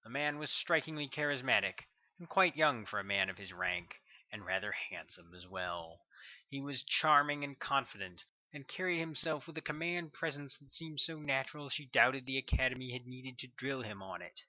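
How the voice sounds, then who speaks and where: A man reading his own novel aloud as an audiobook, in measured, even narration. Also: mid-range voice, dull audio.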